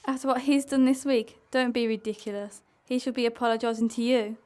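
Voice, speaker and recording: A woman's voice: on the high side, little pitch movement, a little fast, darkish tone, natural voice. A woman playing a supportive, indignant friend of a troubled wife, in an amateur radio play.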